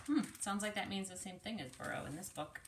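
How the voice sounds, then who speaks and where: A woman reading a picture book aloud to children, pausing to explain words in an animated, teacherly way. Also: mid-range voice, roomy sound, background noise. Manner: animated, explanatory, addresses young listeners directly. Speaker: speech-language pathologist reading to children.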